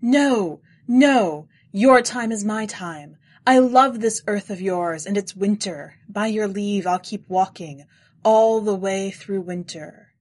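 A woman's voice: mid-range voice, slow, darkish tone. A woman voicing a bitter, weary wanderer in a story, with declamatory, incantatory delivery. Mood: insistent pleading. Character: bitter, weary wanderer hurling solemn curses.

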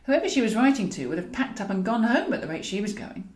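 A British woman reads comic fiction as an audiobook narrator. Her delivery is wry and very animated in pitch. The recording has an audible roomy echo. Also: fast talker. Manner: wry, expressive. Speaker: author and narrator.

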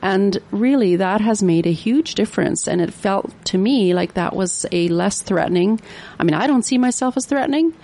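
A woman's voice: mid-range voice, lively intonation, normal speed, mellow. A woman hosting a radio interview in a studio, talking hesitantly with many fillers and self-deprecating asides. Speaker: radio show host.

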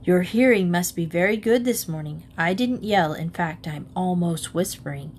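A woman's voice: lowish voice, moderate pace, lightly acted. A woman voicing a practical, mild-mannered father in a storybook, cautious and instructing, on a noisy recording. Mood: hushed, patient.

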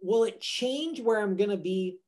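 A man's voice: high voice, a little slow, a little mellow. A man co-hosting a podcast in casual chat, rambling and restarting his sentences as he thinks aloud. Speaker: podcast co-host.

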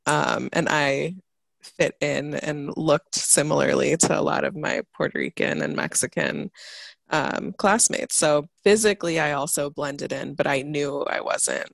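A woman's voice: low voice, lively intonation, unhurried, gravelly, no room sound. A woman from the United States and Mozambique, a podcast guest, talking thoughtfully and searching for words as she shares her experience. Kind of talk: reflective casual conversation.

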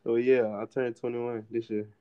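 A man of twenty co-hosting a podcast, playful and jokey, often jumping in with quick reactions. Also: medium pitch, flat delivery, smooth, highs cut off. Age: 20s. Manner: playful, jokey, chimes in a lot. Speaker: podcast co-host. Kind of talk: casual chat.

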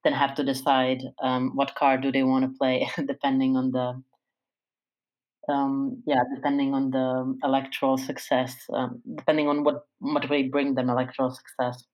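A young woman from Serbia speaks in a panel discussion with an unusually low, flat voice. She hedges her points and builds them slowly. Her tone stays reflective throughout. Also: highs cut off. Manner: reflective, hedging with "I feel like". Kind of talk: casual discussion.

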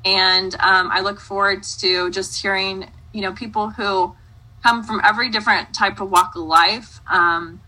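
A woman's voice: mid-range voice, fairly even intonation, unhurried, bright, forceful tone, rough recording. A woman in her late thirties hosting a casual interview, rambling in a friendly way with many likes and ums. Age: 30s. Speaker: host, interviewer.

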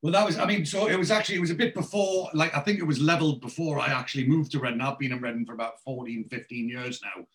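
A man's voice: medium pitch, fairly even intonation, fast, few pauses, fairly bright tone. A man in his forties with a Geordie accent, hosting a podcast interview and rambling through many restarts and fillers. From North East England. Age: 40s. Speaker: podcast host. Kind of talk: casual interview.

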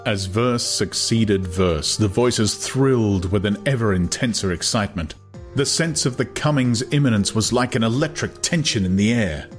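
A man narrating an audiobook in a measured, dramatic style. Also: lowish voice, music in the background.

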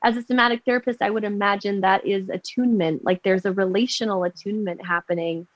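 A woman's voice: mid-range voice, fairly even intonation, fast talker, no room sound, lo-fi sound. A woman who works as a somatic therapist, talking casually in a group conversation and often restarting her sentences. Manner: many fillers and restarts, warm agreeing murmurs.

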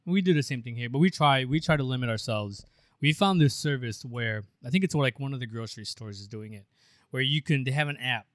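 A man co-hosting a podcast in loose, rambling casual chat, quick to laugh. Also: mid-range voice, a bit bright, no room sound, clean studio sound. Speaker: podcast co-host.

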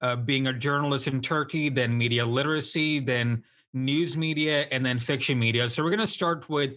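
A man's voice: medium pitch, normal speed, fairly bright tone. A man hosting an interview podcast, upbeat and encouraging, asking short questions. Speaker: podcast host.

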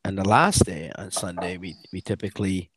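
A man talking casually as a coach and co-host, halting and often rephrasing mid-sentence. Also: low-pitched, fairly even intonation, no echo. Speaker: endurance coach and podcast co-host. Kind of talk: casual conversational podcast talk.

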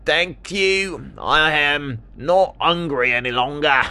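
Man putting on a high, matey kangaroo. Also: takes it slowly, pushed and bright, exaggerated voice. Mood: polite refusal. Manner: matey, slangy, polite. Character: casual kangaroo politely turning down food. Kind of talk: children's storybook character voice.

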